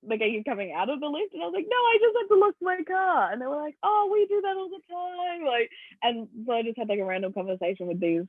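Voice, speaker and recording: A young woman co-hosting a podcast, chatting casually with quick, giggly reactions. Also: high-pitched, lively intonation, no echo. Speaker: podcast co-host. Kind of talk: casual chat. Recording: thin phone-like sound, dry.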